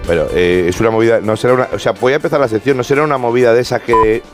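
A man's voice: mid-range voice, rapid-fire. A man, a comedian on a radio show, talking in a flustered, protesting, joking way. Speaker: comedian guest. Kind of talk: comic radio banter. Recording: radio studio with loud music.

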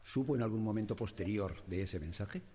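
An actor playing a formal, insistent examiner at an official inquiry in a radio drama, with a husky voice. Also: male voice, on the low side, fairly quick, dark tone, close to an everyday voice. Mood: stern, probing. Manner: formal, probing, insistent questioning. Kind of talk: radio drama courtroom questioning.

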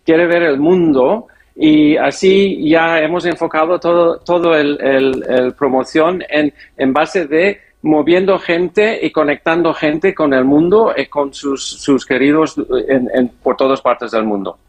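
A man with a foreign accent in non-native Spanish, answering an interview haltingly and searching for words. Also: a little high. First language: not Spanish. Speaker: interview guest, airline executive.

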